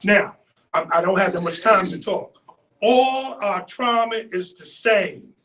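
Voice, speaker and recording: A man, speaking as a panelist in a loud, preacherly way and repeating phrases for emphasis, picked up from a distance. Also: high voice, measured pace. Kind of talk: impassioned community panel speech.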